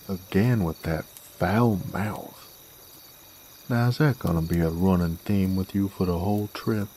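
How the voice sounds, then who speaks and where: Guy doing a cocky gruff cowboy werewolf bounty hunter, real low n slow western american drawl, roleplay audio, bit of cricket noise behind. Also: darkish tone. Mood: irritated, gruff. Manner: folksy, taunting, drawling. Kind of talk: roleplay audio drama monologue, addressed to a captive.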